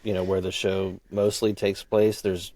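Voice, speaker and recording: A man's voice: low voice, little pitch movement, a little slow, crowd noise. A man speaking as a podcast guest in casual chat, effusive and prone to repeating himself. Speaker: podcast guest, audio drama creator.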